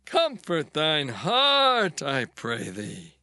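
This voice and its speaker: An actor playing an older, hospitable father-in-law, coaxing in a theatrical, drawn-out way in dramatized scripture. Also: fairly high pitch, huge pitch swings, pushed and bright, dry recording, clean studio sound, heavily acted. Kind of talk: dramatized scripture reading, archaic English.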